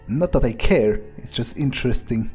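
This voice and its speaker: A man's voice: medium pitch, animated, moderate pace, a little mellow, faint music behind, lightly acted. A man playing a crude, cocky, irritable male vampire in a game, muttering profane asides to himself. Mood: puzzled musing. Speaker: game player in character. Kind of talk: improvised in-character game playthrough.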